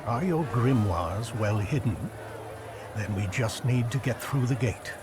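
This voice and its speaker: A man's voice: medium pitch, animated, a little slow, noisy background, natural voice. Man acting a sober plotter in reverberant space. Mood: focused. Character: sober, pragmatic male plotter and strategist. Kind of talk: video game voice acting.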